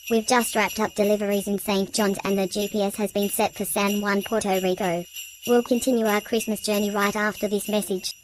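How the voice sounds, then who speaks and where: A woman hosts with scripted narration. Her pitch is mid-range and very even. Jingling sounds are clearly heard behind her. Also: a little fast, noisy background. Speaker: programme host. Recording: loud jingling bells behind voice.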